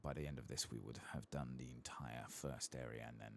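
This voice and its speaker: A man softly murmuring casual, unhurried ASMR commentary over a video game, sometimes whispering, close to the microphone. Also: very deep voice, steady tone, creaky, a little hiss or hum. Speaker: gaming ASMR streamer.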